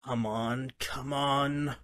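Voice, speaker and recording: Man as a slow-talking, street-tough mutant turtle. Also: mid-range voice, steady tone, fairly bright tone. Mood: wry muttering. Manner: slangy, drawn-out delivery. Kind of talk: amateur comic dub acting.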